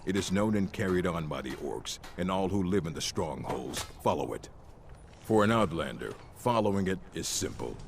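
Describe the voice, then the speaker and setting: A stern orc chieftain from a fantasy game, with an extremely low, gravel-filled voice. He speaks in solemn, commanding declarations full of pride. There is clear noise behind him. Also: animated, moderate pace. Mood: stern, proud. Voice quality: very deep, gravelly. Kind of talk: fantasy video game acting.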